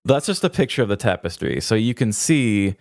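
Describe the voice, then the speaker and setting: A man's voice: on the low side, very animated, brisk, no room sound, clean studio sound. A young adult man co-hosting a podcast, chatting playfully and reading out bits of an article. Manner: playful, reads out article quotes. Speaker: podcast co-host. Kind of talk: casual comedic chat.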